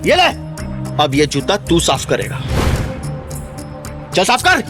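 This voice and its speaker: Audio drama casting: arrogant shop boss, high and contemptuous, scolding over background music. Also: male voice, huge pitch swings, slow, heavily acted. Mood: angry, scornful. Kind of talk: Hindi audio drama acting.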